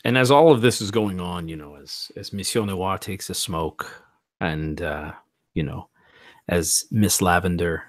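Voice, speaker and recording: A man playing a tabletop roleplay game, thinking aloud in a deliberate, musing way. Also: low voice, dry recording, clean studio sound.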